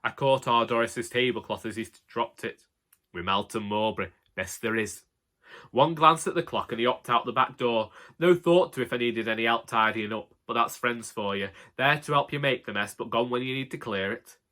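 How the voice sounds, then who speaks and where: A man's voice: medium pitch, fairly quick, hardly any pauses, pushed and bright. A man with a Northern English accent narrating a comic first-person audiobook as a droll, grumbling, long-suffering husband.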